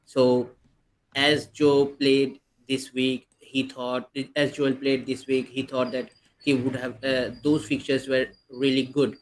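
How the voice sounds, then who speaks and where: A man's voice: medium pitch, flat delivery, slow pace, poor audio. A man co-hosting a podcast, chatting casually and eagerly about fantasy football, often restarting his sentences. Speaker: podcast co-host.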